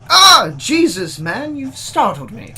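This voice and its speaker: An actor playing a prim, easily flustered young gentleman who narrates his own story theatrically, polite and incredulous. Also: very high voice, wildly swooping pitch, slow, a little hiss or hum, heavily acted. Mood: startled, uneasy. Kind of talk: theatrical first-person narration and dialogue.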